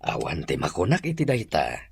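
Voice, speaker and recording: Deep, gravelly Ilocano husband acted by a man. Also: wildly swooping pitch, fairly quick, noisy background, heavily acted. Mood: agreeable. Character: devout, reserved husband, mild and agreeable. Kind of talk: acted dialogue in an Ilocano drama.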